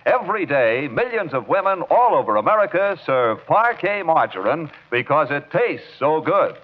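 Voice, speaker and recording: A man's voice: on the high side, big pitch swings, moderate pace, a little hiss or hum. A man working as a radio announcer, reading scripted narration and sponsor copy in 1940s broadcast style. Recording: muffled 1940s radio broadcast, loud music.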